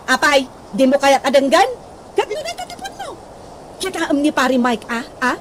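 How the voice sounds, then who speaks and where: A nagging wife played by a woman with an extremely high voice. She scolds insistently and is plainly fed up, in radio comedy style, over clear background noise. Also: huge pitch swings, normal speed. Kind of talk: comedy radio drama acting in Ilocano.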